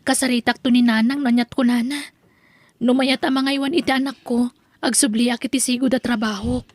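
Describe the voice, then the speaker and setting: A woman's voice: a little high, fairly even intonation, brisk, dry recording, close to an everyday voice. A woman playing a worried younger sister who is a mother, in an amateur audio drama.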